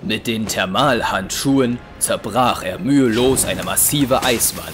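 A male narrator reads a scripted audio drama. His voice sits fairly low, with music clearly behind it. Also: a little slow, fairly bright tone.

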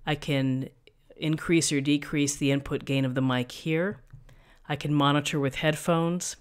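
Middle-aged woman, thin voice, calm step-by-step explaining, desk mic, tutorial host. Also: low voice, unhurried, clean tone. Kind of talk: screen-recorded software tutorial. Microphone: USB desk microphone.

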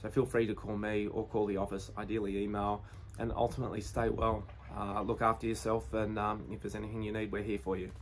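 A man's voice: fairly low pitch, flat delivery, brisk, a little hiss or hum. A man in his forties with an Australian accent, giving a plain, reassuring update to camera from outside his house, talking loosely with fillers and restarts. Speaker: real estate agency owner. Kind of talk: direct-to-camera business update. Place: outdoors beside a brick house. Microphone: phone or camera at close range.

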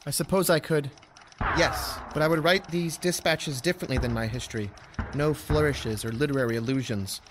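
Actor as an earnest young Roman chronicler. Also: male voice, on the high side, normal speed, noisy background, lightly acted. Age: young adult. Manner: formal, literate, courteous. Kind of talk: full-cast audio drama acting.

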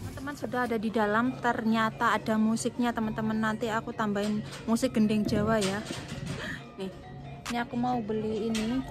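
A woman's voice: a little high, flat delivery, a little fast, over loud music. A woman, chatting casually to viewers as she vlogs a shopping trip. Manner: chatty, addressing viewers as friends. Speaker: family vlogger. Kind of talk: casual shopping vlog chat. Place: outdoors by roads and car parks, then inside a supermarket. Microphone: handheld phone or camera at arm's length.

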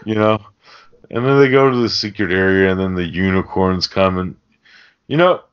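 Male podcast host, lowish pitch, rambling and profane comic recapper, slightly muffled recording. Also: normal speed, clear, resonant tone. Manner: rambling, crude joking delivery with mimicked lines. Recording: dull lo-fi podcast audio.

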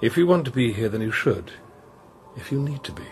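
A man playing a gentle, probing priest in a radio drama, speaking thoughtfully with careful questions and pauses. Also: medium pitch, expressive, a little hiss or hum, close to an everyday voice. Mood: gentle, wondering. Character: gentle, probing priest, an investigator sent from Rome. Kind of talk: naturalistic radio drama acting.

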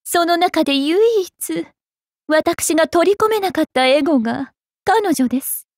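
A woman's voice: very high-pitched, unhurried, dry recording, clean studio sound. A woman voicing a sultry, serene, sinister temptress in a game, genteel and savouring her words. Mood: wistful menace. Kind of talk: Japanese game character voice lines.

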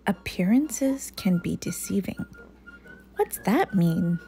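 A woman's voice: mid-range voice, wildly swooping pitch, slow pace, dark tone, soft background music. A woman voicing a sweet, curious and chatty little girl bear cub in a storybook.

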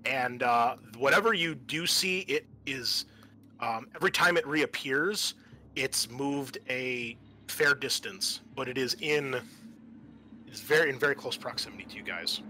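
A man working as game master, improvising narration for a tabletop roleplaying game in a halting, thinking-aloud way. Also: medium pitch, sharp tone, a little hiss or hum.

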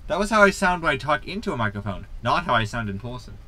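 An actor playing a glib, cocky impostor space captain in a sci-fi comedy audio drama, breezy and matter-of-fact. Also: male voice, mid-range voice, big pitch swings, quick pace, bright, forceful tone.

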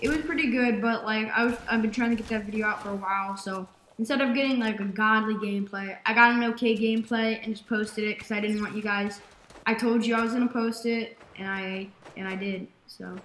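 A young woman talks through her gameplay in a bright, slightly raspy voice, piling on fillers as she rambles. The room around her mic is noticeably echoey, with a faint hiss underneath. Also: mid-range voice, fairly quick. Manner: rambling, filler-heavy, excitable. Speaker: gaming YouTuber. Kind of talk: casual gameplay commentary.

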